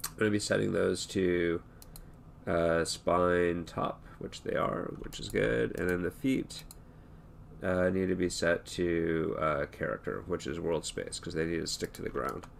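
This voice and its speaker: A hoarse man talking through an animation tutorial in a relaxed, thinking-aloud way, with many fillers and restarts. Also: low voice, a little slow, a little hiss or hum. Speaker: animation instructor. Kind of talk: screen-recorded tutorial talk.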